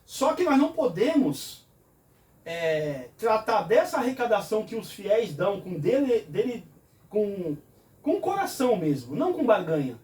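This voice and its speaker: A man speaks earnestly in a fairly high voice, poses question after question, and answers each one himself. The room is echoey and he sits back from the mic. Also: big pitch swings, moderate pace, darkish tone. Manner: earnest, explains with rhetorical questions. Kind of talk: conversational preaching in a talk show. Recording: roomy echo, from a distance.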